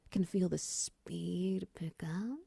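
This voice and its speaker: A seductive, doting spider woman played by a female voice actor for a romance audio roleplay. Her voice is very dark and soft, lowish and breathy, slipping often toward a whisper. She coaxes slowly and teasingly, right up close on a dry mic. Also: no room sound, heavily acted. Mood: flirty, teasing. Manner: slow, coaxing, teasing endearments. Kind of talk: intimate ASMR-style romance audio roleplay.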